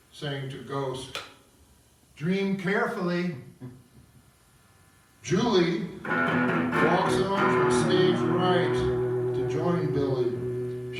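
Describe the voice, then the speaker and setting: A man's voice: a little high, far from the mic. Man narrating from afar in an echoing room. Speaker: narrator reading stage directions. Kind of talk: scripted narration at an opera table read. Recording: roomy echoing recording from afar, guitar music.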